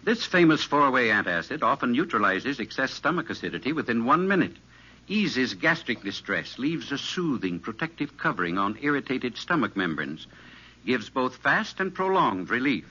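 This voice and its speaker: An American man announces a vintage radio commercial from a script. His voice is very forceful and lively with a husky edge, on a muffled old recording. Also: mid-range voice, normal speed. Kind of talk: scripted 1950s radio advertisement.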